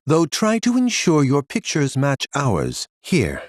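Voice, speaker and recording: A man's voice: on the high side, huge pitch swings, slow pace, dry recording, clean studio sound, full character voice. A man playing a solemn scholarly stargazer in a video game, speaking formally and portentously. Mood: solemn pondering. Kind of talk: video game character acting.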